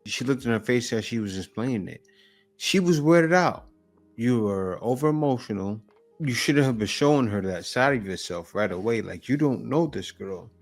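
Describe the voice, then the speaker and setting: Reaction host, male, mid-pitched. Blunt and opinionated, speaks straight back at the clip. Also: animated, normal speed, soft background music. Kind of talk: casual commentary.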